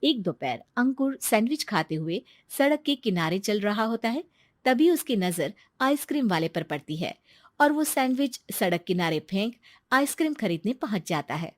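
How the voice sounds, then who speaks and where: A woman narrating a scripted moral story for children in an expressive storytelling manner. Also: mid-range voice, moderate pace, dry recording.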